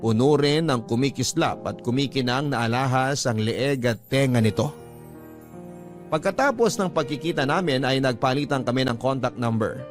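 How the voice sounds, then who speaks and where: A man's voice: mid-range voice, moderate pace, faint music behind, natural voice. An actor playing a heartfelt man who narrates the story of his lost love in earnest, scripted first person. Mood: admiring, smitten. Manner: earnest, sentimental. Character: heartfelt male letter sender recounting his lost love.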